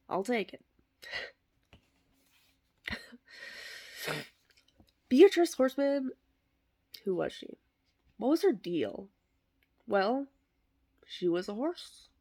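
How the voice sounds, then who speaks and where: A woman voicing a bitter, sardonic middle-aged male horse actor, delivering a comic eulogy monologue in a deadpan, wry way. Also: mid-range voice, gravelly, heavily acted. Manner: deadpan, self-deprecating, wry.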